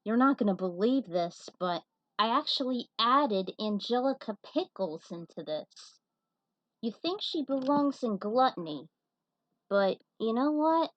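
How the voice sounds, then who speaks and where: A woman's voice: fairly high pitch, lively intonation, unhurried, no echo. A woman casually commenting on and reading out her own fan fiction, opinionated and blunt, with some swearing. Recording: dull, muffled lo-fi recording.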